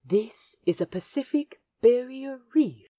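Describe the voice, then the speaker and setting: A fussy, fond gentleman guide voiced by a woman in a fan audio drama. He is prim and eager, with a soft, breathy, mellow tone that moves slowly and rises and dips expressively. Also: on the high side, expressive, no echo, studio quality. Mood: eager, explaining.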